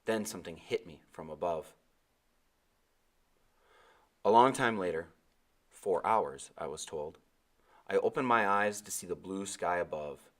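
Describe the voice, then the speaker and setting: A man narrating an adventure novel aloud in an expressive storytelling style. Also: deep voice, moderate pace.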